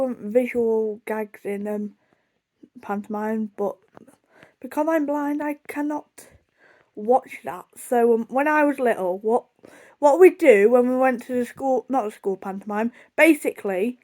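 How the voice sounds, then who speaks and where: A woman's voice: a little high, unhurried, darkish tone. A young woman with a British accent rambling casually through a storytime vlog, chatty, self-deprecating and easily sidetracked. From United Kingdom. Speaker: video blogger.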